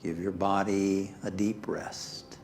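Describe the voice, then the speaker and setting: A man leading a guided meditation as a minister, speaking calmly and soothingly in short phrases with pauses, softly trailing off toward near-whispers. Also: low-pitched, gravelly. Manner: calm, soothing, pausing between short phrases. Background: background music.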